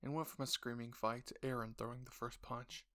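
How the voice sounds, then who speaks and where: A man's voice: medium pitch, flat delivery, fairly quick, pushed and bright. A man reading scripted second-person story narration.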